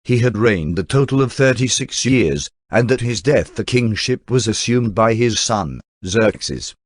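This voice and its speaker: A man reading a historical account aloud in a measured, storytelling documentary narration. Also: mid-range voice, animated, no echo, studio quality. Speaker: reader of historical text.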